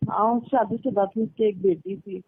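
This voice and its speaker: A woman's voice: medium pitch, steady tone, moderate pace, slightly rough, no echo. A woman chatting casually and recounting personal stories over a band-limited phone call. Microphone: telephone line.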